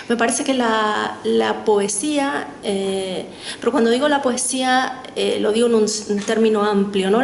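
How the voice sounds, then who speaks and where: Echoey Argentine woman, thoughtful and musing. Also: fairly high pitch. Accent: Argentine Spanish. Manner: thoughtful, many fillers and tag questions. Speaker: panel guest writer. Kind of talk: reflective talk at a literary panel. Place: lecture hall. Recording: echoey room with faint noise.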